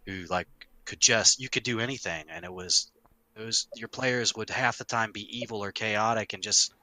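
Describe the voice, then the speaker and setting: Middle aged podcast guy, low voice but really sharp and punchy, dry close mic, sweary and animated. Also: moderate pace, dry recording, low-quality recording. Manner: emphatic, sweary, animated explainer. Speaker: podcast host, longtime game master. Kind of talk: casual podcast discussion.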